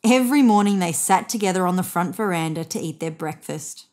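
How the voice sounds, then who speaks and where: A woman in her thirties narrates a children's picture book aloud. Her delivery is expressive, with very lively rises and falls in pitch. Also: fairly quick. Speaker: storybook reader. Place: indoors on a bed.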